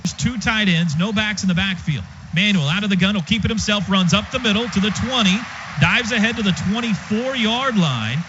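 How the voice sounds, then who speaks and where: An American man doing radio play-by-play of a football game in clipped present-tense phrases, excitable on big plays. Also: high-pitched, a little fast. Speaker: play-by-play announcer. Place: stadium radio booth. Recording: dull, noisy stadium radio broadcast.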